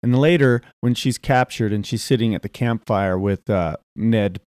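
A man's voice: on the low side, moderate pace, a little mellow, no room sound, studio quality. A man co-hosting a talk show in casual chat.

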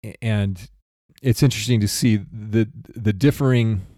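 A man's voice: lowish voice, normal speed, mellow, no echo, studio quality. An American man co-hosting a talk show with casual, conversational commentary.

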